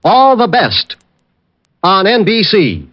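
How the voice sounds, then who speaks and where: A man's voice: high voice, wildly swooping pitch, slow pace. A man with an American accent reading scripted credits and promos in a formal, projected 1940s radio announcer style. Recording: dull old radio recording, prominent music.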